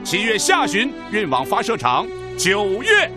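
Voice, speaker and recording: A resolute leader addressing his missile institute in a radio drama. The voice is high and hugely varied in pitch, emphatic and declamatory, with music behind it. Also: huge pitch swings, a little slow, sharp tone, full character voice. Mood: resolute, rousing.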